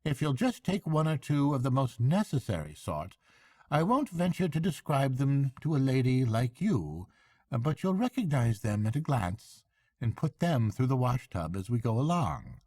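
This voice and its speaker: A man's voice: medium pitch, big pitch swings, moderate pace, dark tone, no room sound, pitched above the performer's own voice. A man voicing a hearty, shrewd barge woman in a storybook reading, chatty and falsely respectful. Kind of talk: storybook character voice.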